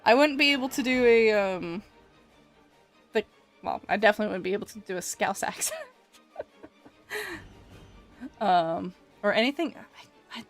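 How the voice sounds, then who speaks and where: A woman's voice: mid-range voice, expressive, moderate pace, faint music behind. Giggly streamer woman, Scottish-Canadian accent. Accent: mixed Scottish and Canadian. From Scotland. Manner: chatty, laughs often, answers chat aloud. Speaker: art streamer. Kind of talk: casual live-stream chat while drawing.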